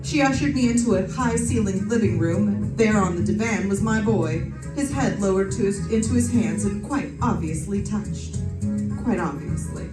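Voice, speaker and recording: A woman's voice: mid-range voice, normal speed, lightly acted. An actress playing a wisecracking young woman private detective in an old-time radio drama, with wry, breezy delivery. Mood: wry narrating. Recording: roomy distant stage recording with music.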